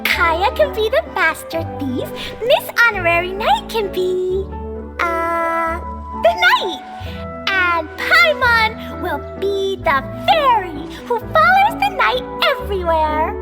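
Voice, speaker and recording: A woman voicing an excitable, cheerful little girl in a game cutscene, talking about herself in the third person. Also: very high voice, slow, exaggerated voice. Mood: excited. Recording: game audio under loud music.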